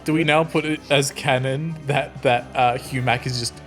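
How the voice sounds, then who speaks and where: A man's voice: medium pitch, steady tone, moderate pace, soft background music. A man chatting casually and jokingly as a player in a comedy tabletop game.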